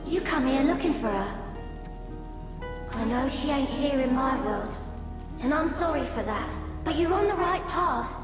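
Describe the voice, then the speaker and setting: Serene homespun oracle, high-voiced and unhurried, video game cutscene, long hall reverb with loud music. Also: female voice, expressive. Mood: serene, kindly. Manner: slow, homespun, rustic phrasing. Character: serene folksy female oracle of a broken world.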